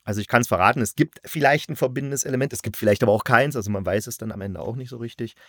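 A man's voice: mid-range voice, rapid-fire, fairly bright tone, no room sound, clean studio sound. A man hosting a casual review conversation, thinking aloud in a colloquial, rambling way. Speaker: podcast host and audio drama reviewer.

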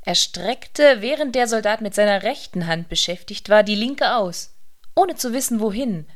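A woman's voice: medium pitch, animated, moderate pace. A woman reading scripted literary narration as the narrator of a radio play.

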